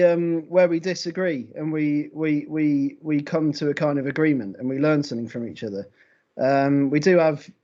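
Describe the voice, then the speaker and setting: A man's voice: fairly high pitch, mellow, dull audio. A man, a poetry magazine co-editor, speaking wryly on a panel with frequent stammering restarts. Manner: wry, self-deprecating, stammering restarts. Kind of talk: panel discussion, casual.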